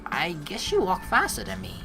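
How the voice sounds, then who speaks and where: A woman with a slightly low, highly animated voice plays through a game for viewers. She reads narration and dialogue aloud, then comments casually and playfully, with faint music behind her. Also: normal speed, poor audio. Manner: playful, expressive, acts out lines. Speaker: let's play host.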